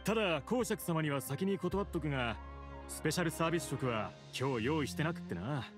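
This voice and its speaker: A man's voice: on the high side, a little fast, lightly acted. A male actor playing a genial, chummy middle-aged cafeteria cook in a Japanese anime game. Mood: welcoming. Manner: lively, familiar service talk. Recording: studio voice under game music.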